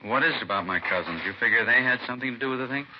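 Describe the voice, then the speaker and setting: A man's voice: mid-range voice, racing, natural voice. A man playing a nervous, evasive robbery suspect in a 1950s radio crime drama, defensive and pleading in manner. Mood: defensive, wary. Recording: dull, noisy vintage radio recording.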